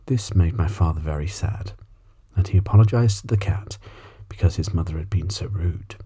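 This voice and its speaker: Very soft, deep, husky male bedtime reader. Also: moderate pace. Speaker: audiobook reader. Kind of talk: ASMR bedtime story narration.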